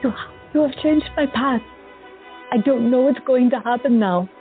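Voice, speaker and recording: A woman's voice: sits high, normal speed, phone-quality sound, faint music behind, lightly acted. A woman voicing an earnest visionary patient. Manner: earnest, pleading. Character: female psychiatric patient with visions, earnest and fateful. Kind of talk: audio drama acting.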